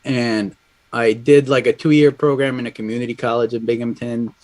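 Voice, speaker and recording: A man in his thirties from Colombia, a guest in a casual interview, speaking in a relaxed, conversational way with lots of fillers. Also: mid-range voice, normal speed. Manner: relaxed and conversational, frequent "like" and "dude". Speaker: audio engineer guest.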